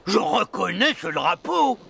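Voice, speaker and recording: A man's voice: high-pitched, very animated, unhurried, gravelly, very noisy, heavily acted. A man playing a pompous, short-tempered king and overprotective father in a fairy-tale cartoon, with a theatrical delivery. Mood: furious. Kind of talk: cartoon voice acting.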